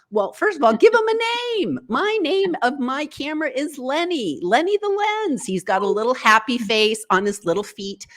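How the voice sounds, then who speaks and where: A woman guest on a live video interview, giving encouraging tips in an animated way and leaping up in pitch for quoted thoughts. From United States. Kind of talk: online interview. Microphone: video call.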